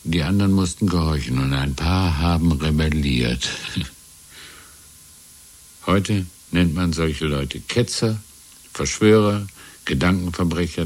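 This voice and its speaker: Deep-voiced, wistful old man. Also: husky, heavily acted. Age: older. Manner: halting, musing, repeating himself. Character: wistful, deferential old shopkeeper reminiscing about lost freedom. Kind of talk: 1970s German radio drama acting.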